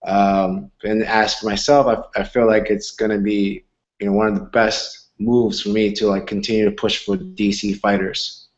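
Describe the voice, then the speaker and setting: A man's voice: lowish voice, little pitch movement, normal speed, dull audio. A man in his twenties from Argentina, a fighter answering interview questions in an earnest, rambling way. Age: 20s. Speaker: MMA fighter.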